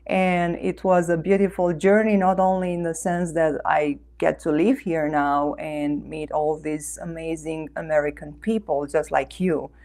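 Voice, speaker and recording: Female interview guest from Romania; low-leaning, gravelly voice, earnest and effusive delivery. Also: flat delivery, measured pace, few pauses, a little mellow. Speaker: interview guest, author and life coach. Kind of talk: conversational interview answers.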